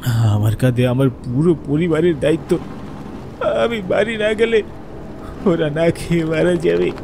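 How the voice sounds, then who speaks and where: A man's voice: fairly high pitch, measured pace, gravelly. A man voicing a frightened ordinary man who tells his own ghost story in dramatic horror cartoon style. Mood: fearful pleading. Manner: dramatic, fearful, pleading in dialogue. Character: frightened family breadwinner stranded among ghosts, narrating. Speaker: first-person narrator and protagonist. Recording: noisy ambience behind the voice.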